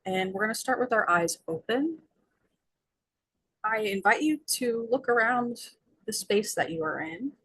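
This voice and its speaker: A woman leading a guided meditation as its guide, speaking in gentle, soothing invitations with long pauses. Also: a little high, lively intonation, slightly rough, low-quality recording.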